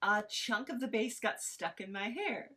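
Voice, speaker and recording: A woman playing a ditzy, doting podcaster in a comedy read-through, hesitant, apologetic and cutesy, with a husky voice. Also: mid-range voice, expressive, a little slow, sharp tone, exaggerated voice. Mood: sheepish explaining. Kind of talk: informal script read-through of audio comedy.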